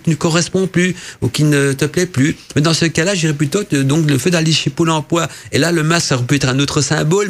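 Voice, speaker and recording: Rambling gravelly-voiced male radio host. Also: a little high. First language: not French. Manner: rambling, many fillers and restarts. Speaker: radio show host reading listener messages. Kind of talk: casual radio chat interpreting dreams.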